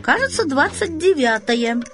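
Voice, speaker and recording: Timid young boy voiced by a woman, high pitch, flustered, meek, apologetic, storybook character, music behind. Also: sing-song, unhurried. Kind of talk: dramatised storybook reading.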